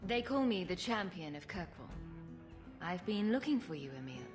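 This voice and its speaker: A woman's voice: lowish voice, sing-song, moderate pace, over music. A woman playing a bold, resolute mage hero in a video game, blunt and challenging. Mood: assured.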